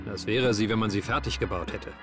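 An actor playing an easygoing young soldier who narrates wryly in a video game. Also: male voice, lowish voice, fairly quick, over loud music, lightly acted.

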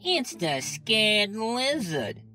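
A man voicing a mean wolf in a storybook, slowly taunting. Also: high-pitched, very animated, pushed and bright, soft background music, pitched above the performer's own voice, heavily acted. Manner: slow, taunting.

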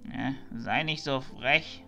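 A man's voice: mid-range voice, takes it slowly, gravelly, sharp tone, lo-fi sound, soft background music, full character voice. A man playing a quirky, scolding old village priest in a fantasy audio drama. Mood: mock scolding. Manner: nagging, scolding.

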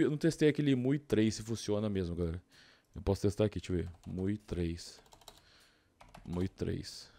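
Casting: Brazilian male, low register, dry close-miked sound, informal slangy game commentary. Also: mellow, no room sound. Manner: informal, slangy, thinking aloud. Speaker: gaming YouTuber. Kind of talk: casual gameplay commentary.

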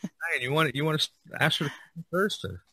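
A man's voice: a little high, animated, normal speed, pushed and bright, breathy edge, dry recording, poor audio. A man hosting a casual role-played interview, repeating himself a little as he sets up questions. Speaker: podcast host interviewing artists. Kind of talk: casual play-acted interview.

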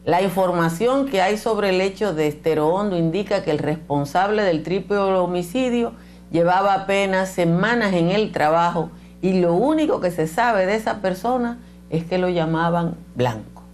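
A woman's voice: fairly low pitch, moderate pace, darkish tone. Blunt older Dominican woman commentator. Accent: Dominican Spanish. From Dominican Republic. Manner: emphatic and blunt, gesturing with her hands. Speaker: political commentator. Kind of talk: opinion commentary to camera. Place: small indoor studio set with bookshelves.

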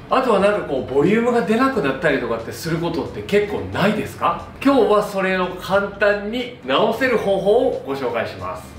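A man's voice: a little high, a bit bright, slight background noise. A man in his thirties, a hairdresser giving an upbeat, friendly, step-by-step tutorial to camera. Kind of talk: instructional hair tutorial to camera. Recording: roomy echo, distant mic, background music. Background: music.

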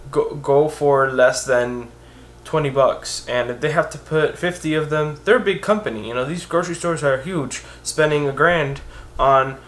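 A young man with a fairly high voice talks straight to the camera in a casual vlog. He is earnest and animated, and his pitch climbs when he presses a point. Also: normal speed. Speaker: vlogger on blindness. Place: indoors, a green-screen backdrop of store photos.

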